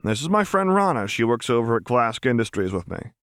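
Nervous, put-upon man, read by a male narrator, animated pitch. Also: fairly quick, clean tone, no echo, clean studio sound. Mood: awkward, placating. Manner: hesitant, placating, earnest. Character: nervous, apologetic, put-upon ordinary man, loyal friend. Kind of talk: audiobook character voice.

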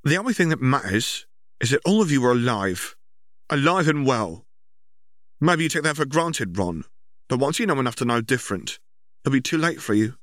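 Audiobook mother, male reader, stern and emotional, pitched up, close dry sound. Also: very animated, moderate pace, a bit bright, no room sound. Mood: stern, emphatic. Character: stern, emotional mother rebuking her children.

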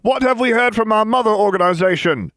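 A man's voice: high voice, brisk, fairly bright tone, dry recording, clean studio sound, pitched above the performer's own voice. A man voicing a theatrical young male wizard who speaks in a pompous, self-important way.

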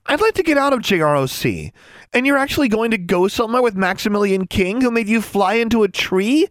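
A man's voice: fairly high pitch, big pitch swings, fairly quick, dry recording, voice pushed up. A man voicing an exasperated, anxious young schoolgirl who speaks with sarcastic indignation in a storybook. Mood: indignant.

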